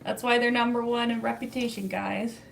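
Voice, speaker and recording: Chatty canadian woman reading her chat, kinda high voice, laughs a lot. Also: little pitch movement, fairly quick, gravelly, a little hiss or hum, low-quality recording. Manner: chatty, laughs often, answers viewer comments. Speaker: livestream host reading chat. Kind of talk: casual livestream chat.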